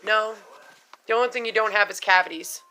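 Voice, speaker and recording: A woman's voice: medium pitch, brisk, pushed and bright, slight background noise. A middle-aged woman talking casually and frankly in a vlog, chatty, swearing now and then and full of "you know" fillers. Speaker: vlogger sharing denture experiences. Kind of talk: casual unscripted vlog talk.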